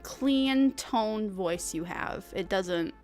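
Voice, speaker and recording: A young woman from the United States chatting casually as a guest on a stream, animated and quick to laugh loudly. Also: mid-range voice, soft background music.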